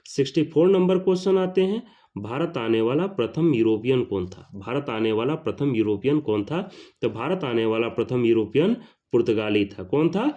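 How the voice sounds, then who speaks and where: A man teaching history for an exam. He reads each question, then repeats it and its answer, and he calls out each question number higher and louder. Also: dull audio.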